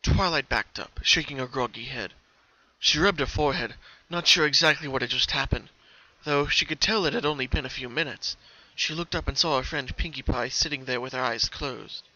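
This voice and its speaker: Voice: mid-range voice, moderate pace, bright, forceful tone, highs cut off. A narrator giving a scripted dramatic reading of a fan story. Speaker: narrator, fan fiction reader.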